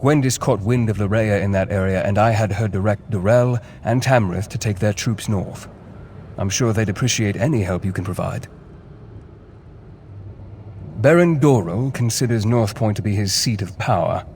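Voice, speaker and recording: A man playing a brisk, impatient scholar. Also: lowish voice, darkish tone, a little hiss or hum, natural voice. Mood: instructive. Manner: formal, curt, impatient. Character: learned male scholar advisor, brisk and impatient.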